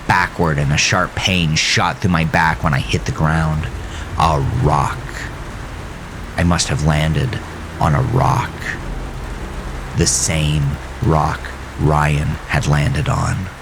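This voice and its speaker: Male actor as bitter haunted farmer, extremely low voice, slow deliberate delivery, grave and brooding, horror audio drama narration. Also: gravelly, background noise, full character voice. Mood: pained, slow. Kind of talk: scripted first-person horror narration.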